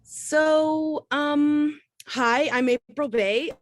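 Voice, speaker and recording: A woman's voice: high-pitched, steady tone, drawn-out words, no echo, studio quality. A woman from the Bahamas giving an informal artist talk in a conversational, explanatory way.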